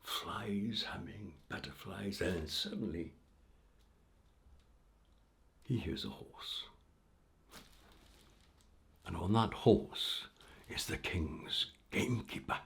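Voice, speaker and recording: A man telling a folk tale aloud in an informal, theatrical way with dramatic pauses. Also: deep voice, lively intonation, gravelly, a bit bright, slight background noise. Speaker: storyteller. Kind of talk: animated oral folk-tale storytelling.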